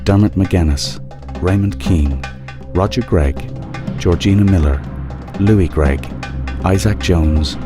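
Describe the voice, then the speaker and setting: Give me a deep-voiced male radio announcer. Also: slow, over music. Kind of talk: scripted radio drama credits.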